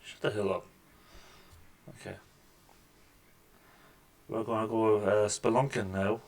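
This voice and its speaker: A man with a deep voice talks through a video game as he plays it. He keeps up a casual, wry running commentary into a desk microphone at home, cracking dry jokes about what he sees on screen. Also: level tone, slow. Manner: wry, joking asides over gameplay. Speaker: gaming channel host. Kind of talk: casual let's-play commentary. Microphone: desk microphone with mixer.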